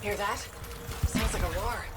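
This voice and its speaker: A woman's voice: mid-range voice, steady tone, measured pace, lightly acted. A woman playing a capable, matter-of-fact freelancer pilot in a video game, speaking tersely. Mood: tense. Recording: loud game music behind.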